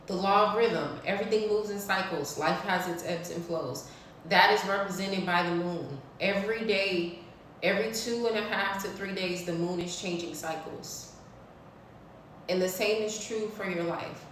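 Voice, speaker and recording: A woman's voice: medium pitch, moderate pace, a bit bright, far from the mic, a little hiss or hum. A woman in her thirties giving a blunt, preachy spiritual talk to her viewers, swearing freely. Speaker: spiritual vlogger. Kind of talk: casual spiritual talk to camera. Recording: echoey room, voice off-mic.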